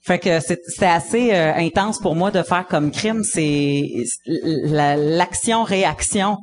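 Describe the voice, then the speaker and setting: A woman's voice: lowish voice, measured pace, soft background music. A woman with a Quebec French accent, a comedian chatting casually and laughing often, with many restarts. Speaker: comedian guest. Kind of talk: casual podcast chat.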